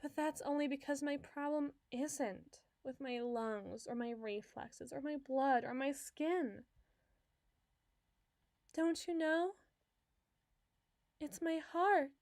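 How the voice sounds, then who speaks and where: Woman acting a high-voiced, clingy lovesick patient. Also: slow pace, pauses often, a little mellow, no echo, clean studio sound. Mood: pushy, pleading. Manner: dramatic, coy, wordy, wheedling. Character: obsessive, clingy lovesick female patient, theatrical flirt. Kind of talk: ASMR roleplay monologue.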